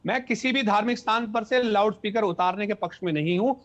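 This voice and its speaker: Male debate panelist, high voice, combative, muffled remote line. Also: quick pace, a bit bright. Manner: argumentative, repeats words to hold the floor. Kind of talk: heated TV debate argument.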